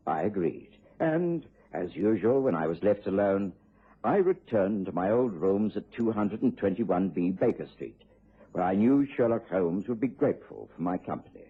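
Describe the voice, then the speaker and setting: An actor playing a blustery, genteel English doctor and loyal sidekick in an old-fashioned radio drama. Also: male voice, lowish voice, breathy edge, full character voice. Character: genteel, easily shocked English doctor, loyal friend of a detective. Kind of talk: BBC radio drama acting. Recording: muffled tinny old radio recording.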